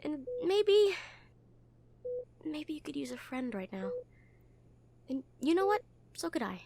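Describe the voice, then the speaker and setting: High-pitched, caring nurse played by a woman. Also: big pitch swings, slow, vocal fry, mellow, full character voice. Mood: earnest, supportive. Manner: hesitant, caring, reassuring, conversational. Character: kind, earnest, gently teasing hospital nurse comforting a patient. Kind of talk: roleplay audio acting, soft comfort talk.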